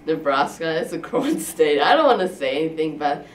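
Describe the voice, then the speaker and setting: A woman's voice: deep voice, animated, moderate pace, recorded from a distance, a little hiss or hum. A young woman, a college tennis player chatting casually in an interview, full of fillers. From Germany. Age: young adult.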